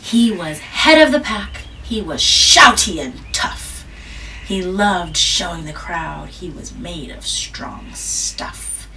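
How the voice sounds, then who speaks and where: A mother reading a picture book aloud to her child at home, gently stopping to ask questions and jumping to a squeaky high pitch on small words. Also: lively intonation, takes it slowly. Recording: distant mic with faint room noise.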